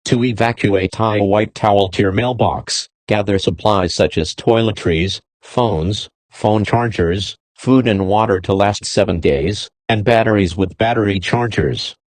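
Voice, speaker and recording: Deep voiced guy doing an emergency alert announcement, formal and terse, official sounding. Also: unhurried, few pauses, dry recording. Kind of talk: scripted emergency broadcast announcement.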